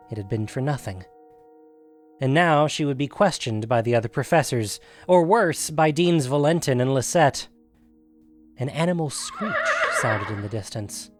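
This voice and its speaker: A man reading scripted fantasy fiction as a narrator, in a measured, dramatic storytelling manner. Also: mid-range voice.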